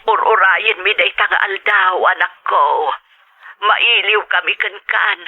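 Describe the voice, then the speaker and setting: A woman's voice: medium pitch, slow pace, husky. Radio drama: tender, worried older mother, Ilocano accent, narrow telephone-filtered sound, fretting over her child. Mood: fond, longing. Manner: fretful, tender. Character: worried, loving older mother back home. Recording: thin, band-limited telephone sound.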